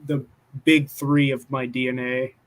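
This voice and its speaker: A man's voice: mid-range voice, level tone. A young man hosting a casual online group hangout, joking and often restarting his sentences. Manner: joking, halting, repeats words. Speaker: host.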